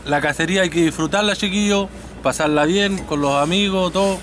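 A man's voice: high-pitched, fairly quick, fairly bright tone, slight background noise. A man with a Chilean accent chatting casually and joking with friends at night beside a pickup truck, greeting his subscribers. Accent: Chilean Spanish. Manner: joking, laughing, addressing subscribers. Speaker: hunting vlogger. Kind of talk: casual chat.

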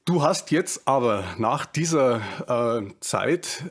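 A man in his thirties with a Bavarian accent, hosting a casual podcast interview over a video call on a headset, talking slowly with many fillers and restarts. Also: medium pitch, expressive. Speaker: podcast host. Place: home room, video call.

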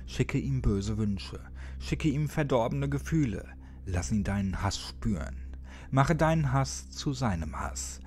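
A man reads a scripted horror tale in a medium-pitched voice with very varied intonation. He speaks straight to the listener in an ominous, dramatic manner, leaving weighty pauses. Faint music plays behind him. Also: a little mellow. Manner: ominous direct address with dramatic pauses, dropping at times into near-whispers. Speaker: horror story narrator.

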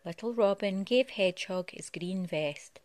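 A woman reading a children's story aloud in an expressive way, like a parent at storytime. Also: mid-range voice, a little slow. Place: living room at home.

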